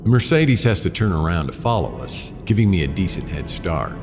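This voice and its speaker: Very deep wry private eye. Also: male voice, very animated, a little fast, long reverb tail, music in the background. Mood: tense, focused. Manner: wry, laconic storytelling. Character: wry hard-boiled male private investigator narrating his case. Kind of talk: first-person noir radio drama narration.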